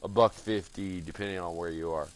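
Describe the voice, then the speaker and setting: A man's voice: low voice, fairly even intonation, brisk. A man from California streaming a game, chatting in a crude, rambling, heavily swearing way. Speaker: gaming streamer. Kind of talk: casual profane banter while gaming.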